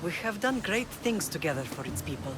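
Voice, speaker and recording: An actress playing a commanding, protective middle-aged Spartan mother and leader in a video game, speaking in a measured, formal, authoritative way. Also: fairly low pitch, very animated, very noisy. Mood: solemn, caring. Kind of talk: dramatic video game acting.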